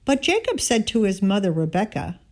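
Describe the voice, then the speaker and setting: A woman giving a scripted Bible reading, narrating and voicing the dialogue in deliberate, measured phrasing. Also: medium pitch, sing-song, a little mellow. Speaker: scripture reader.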